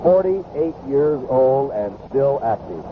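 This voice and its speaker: A man's voice: medium pitch, very animated, slow pace, narrow, tinny audio. A man calling a baseball game live as a play-by-play announcer on an old radio broadcast. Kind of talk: live sports commentary. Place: ballpark broadcast booth. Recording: noisy band-limited vintage radio broadcast. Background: loud ambient ballpark noise.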